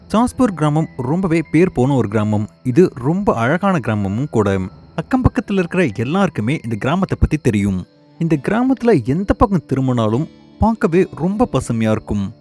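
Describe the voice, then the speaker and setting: Expressive male storyteller. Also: on the high side. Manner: dramatic, measured storytelling. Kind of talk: scripted cartoon story narration.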